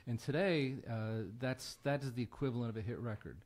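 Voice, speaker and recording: A man's voice: mid-range voice, fairly quick, darkish tone. A man, a guest folk musician, talking casually and earnestly in a plain-spoken way as he introduces a song. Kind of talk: casual talk introducing a song at a live show.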